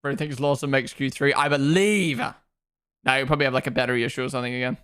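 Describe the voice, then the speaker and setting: A young man with a mixed New Zealand and Australian accent, casually commentating a live watchalong and chatting with viewers, with frequent quick asides. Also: mid-range voice, steady tone, smooth. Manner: chatty, quick asides, reacts loudly to on-track moments. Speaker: streamer hosting a watchalong.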